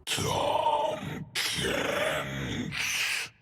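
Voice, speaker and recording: Horrifying scarecrow demon, game villain, halting stolen voices, wildly swinging pitch, harsh piercing edge. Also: male voice, huge pitch swings, sharp tone, heavily acted. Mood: eerie menace. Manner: halting fragmented cries in mimicked voices. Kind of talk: video game character voice lines.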